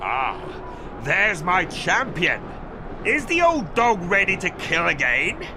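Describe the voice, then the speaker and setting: An actor in a video game playing a mocking, gloating master of a fighting pit, with a sneering, theatrical, taunting delivery. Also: male voice, high-pitched, huge pitch swings, slow, noisy background, heavily acted. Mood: gleeful taunting. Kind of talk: dramatic video game voice acting.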